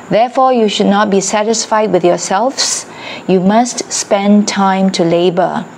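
Woman reading aloud, slow and measured. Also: mid-range voice, darkish tone, a little hiss or hum. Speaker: reader of a ministry excerpt.

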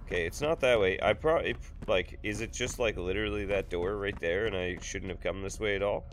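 A man's voice: lowish voice, fairly quick, a little hiss or hum. A man in his thirties with a sick, nasal voice from blocked sinuses, rambling and joking through casual game commentary at a home desk. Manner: rambling, jokey, swears freely. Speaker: gaming YouTuber. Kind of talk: casual let's play commentary.

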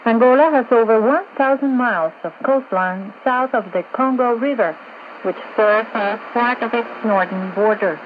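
Very narrow, tinny shortwave sound with faint static carries a female radio journalist. Her voice is fairly high, and she explains in a formal, scripted manner as part of a studio discussion. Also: normal speed. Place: radio studio, heard over a shortwave receiver.

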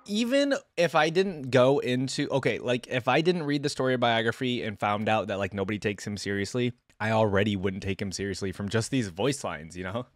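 A man's voice: fairly low pitch, animated, a little fast, hardly any pauses. A young man giving amused, informal reaction commentary into a close desk microphone indoors. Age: young adult. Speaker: reaction video host. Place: indoor streaming setup. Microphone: close desk microphone with headphones.

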